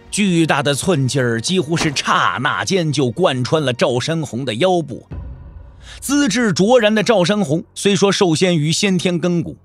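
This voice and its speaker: Animated male storyteller, lightly high voice. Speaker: narrator. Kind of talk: dramatic scripted audiobook narration. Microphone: close studio microphone.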